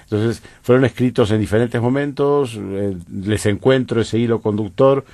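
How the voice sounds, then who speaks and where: A Uruguayan man, a guest poet, speaking in a measured, reflective way in a radio studio interview. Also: mid-range voice, a little mellow, no echo.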